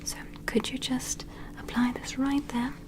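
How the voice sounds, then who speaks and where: A woman playing a gentle, attentive alien medical examiner in an ASMR roleplay, whispering slowly and soothingly in a breathy, reassuring way. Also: high voice, little pitch movement. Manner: slow, soothing, reassuring, procedural.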